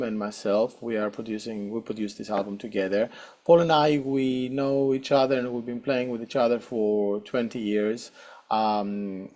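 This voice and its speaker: A man's voice: medium pitch, dark tone. A middle-aged man with a Greek accent, answering interview questions hesitantly with many fillers and restarts. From Greece. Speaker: guest saxophonist.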